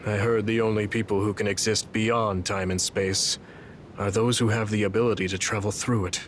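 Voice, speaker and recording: An actor playing a weary, blind old retired hero in a video game, speaking gravely and slowly measured, full of regret. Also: male voice, lowish voice, level tone, slight background noise, close to an everyday voice. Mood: resigned, explanatory. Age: older. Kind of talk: dramatic video game voice acting.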